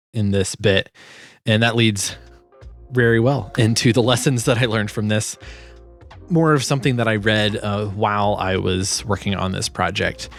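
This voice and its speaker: A man with a fairly low voice hosts a sound design walkthrough. He talks informally and a little haltingly, as if thinking aloud. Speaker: sound designer and video host. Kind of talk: casual tutorial walkthrough.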